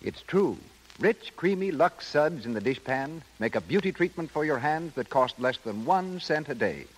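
A man's voice: fairly high pitch, lively intonation, normal speed, poor audio. An American man reading scripted advertising copy as a 1930s radio announcer. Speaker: commercial announcer. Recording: old radio recording, faint noise.

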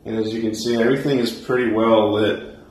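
A man's voice: fairly low pitch, steady tone, brisk, darkish tone, rough recording. An American man in his twenties giving a casual, laid-back vlog tour, talking informally into a handheld phone indoors. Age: 20s. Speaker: vlogger. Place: empty apartment rooms indoors. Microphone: handheld phone at arm's length.

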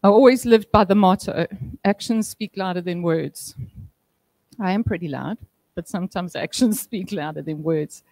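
A woman in her thirties giving a eulogy for her father at a memorial service, heartfelt with touches of gentle humor, speaking into a handheld mic in an indoor function room. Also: on the low side, moderate pace, no room sound. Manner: heartfelt, with light humor and soft laughs. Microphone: handheld microphone.

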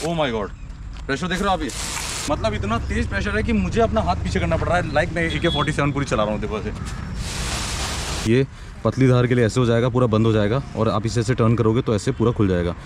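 A man's voice: medium pitch, quick pace. A young man giving an eager, step-by-step casual demonstration of equipment while working outdoors beside a car. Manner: eager, explains step by step while handling gear. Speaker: product reviewer. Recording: noisy outdoor recording with spraying washer.

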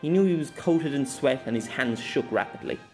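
A male narrator reads a fantasy story aloud in a mid-pitched voice. The delivery is scripted storytelling, with music playing behind it. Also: expressive, brisk, gravelly, mellow.

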